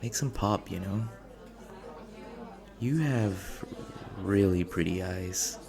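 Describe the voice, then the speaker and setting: A male actor plays a shy, gentle young man in an ASMR coffee shop roleplay. His voice is low and crackles with vocal fry. He talks slowly and hesitantly, rambling earnestly and second-guessing himself like someone anxious around strangers. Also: a crowd in the background, heavily acted. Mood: awkward, rambling. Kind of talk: ASMR boyfriend audio roleplay, intimate naturalistic acting.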